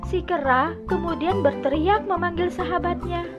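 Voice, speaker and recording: High-voiced woman storyteller over music. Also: normal speed. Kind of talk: scripted children's fable narration.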